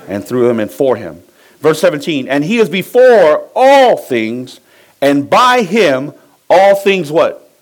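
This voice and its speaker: A man's voice: on the high side, slow, poor audio. A middle-aged man from Samoa, a pastor preaching a sermon, asking his congregation to finish his sentences. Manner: lively preaching, asks the congregation to fill in words.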